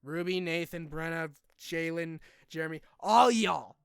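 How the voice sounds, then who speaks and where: A young man talking informally to his subscribers in a personal vlog, halting and rambling in a colloquial way. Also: fairly high pitch, creaky, smooth, no echo, studio quality. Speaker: online video creator.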